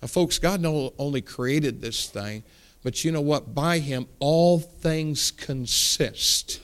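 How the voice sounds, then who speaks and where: A man's voice: fairly high pitch, takes it slowly, smooth. Folksy man preaching a sermon. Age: 40s. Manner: folksy storytelling, rising to emphatic preaching.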